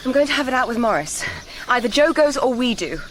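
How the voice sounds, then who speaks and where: An actress playing an anxious, tender young lover with an English accent, in naturalistic radio drama. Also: female voice, high-pitched, very animated, fast, slight background noise, low-quality recording. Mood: distressed.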